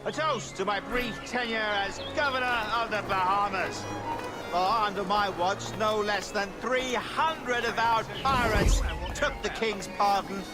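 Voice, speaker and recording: An actor playing a self-satisfied colonial governor giving a formal public speech in a video game. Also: male voice, high voice, a little slow, loud music behind the voice. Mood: proud, formal.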